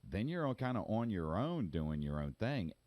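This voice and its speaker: A man's voice: lowish voice, sing-song, moderate pace, darkish tone, no room sound. A man hosting an interview, eagerly asking questions and often repeating words as he forms them. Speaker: interview host. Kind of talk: casual interview.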